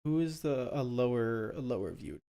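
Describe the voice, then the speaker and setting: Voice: medium pitch, lively intonation, normal speed, gravelly, dark tone. A video game streamer chatting casually and crudely during a livestream, with a conversational, loose delivery. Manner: crude, swearing. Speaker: streamer reading game dialogue aloud.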